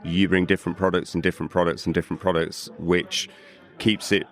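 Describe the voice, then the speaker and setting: A British man co-hosting a casual podcast interview at a trade show, his voice croaky after a night out, chatty and full of fillers and repeated words. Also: low-pitched, little pitch movement, fairly quick, fairly bright tone. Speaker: podcast co-host. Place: busy trade show hall.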